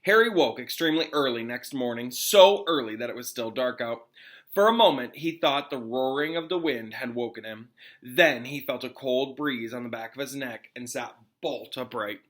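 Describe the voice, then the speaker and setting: Man, teacher, very bright forceful voice, expressive storybook read-aloud, informal explanations, medium pitch. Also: moderate pace. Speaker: classroom teacher reading aloud.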